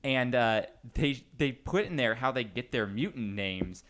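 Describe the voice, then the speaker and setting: A man hosting a casual group chat in an animated, joking, geeky way. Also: medium pitch, a little slow. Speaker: podcast host.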